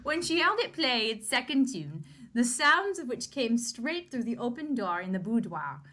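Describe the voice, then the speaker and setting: A woman co-hosting a casual podcast, chatting with playful giggles and reading a book aloud with lively emphasis. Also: a little high, normal speed.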